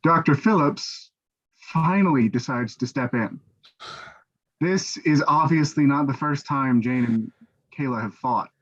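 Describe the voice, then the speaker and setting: A man's voice: mid-range voice, a little slow, fairly bright tone. A man reading stage directions as scripted narration for a play, on a dull microphone.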